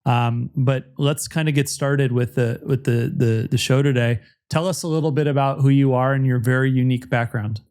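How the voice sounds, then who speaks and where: A man hosting a podcast in a relaxed, rambling style, with loose, friendly phrasing. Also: medium pitch, normal speed, few pauses, clear, resonant tone. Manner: relaxed, rambling, many fillers and restarts. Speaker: podcast host.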